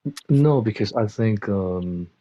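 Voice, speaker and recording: Halting deep-voiced man from Manchester. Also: expressive, warm tone, dry recording, lo-fi sound. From Manchester, England. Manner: halting, full of "um" pauses and restarts. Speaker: podcast panel guest. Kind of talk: casual chat.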